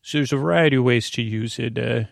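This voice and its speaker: Man, gravelly fry, dry close sound, rambling podcast host, halting asides. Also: medium pitch, no echo. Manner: meandering, halting, frequent self-interruptions and asides. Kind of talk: rambling improvised bedtime podcast monologue.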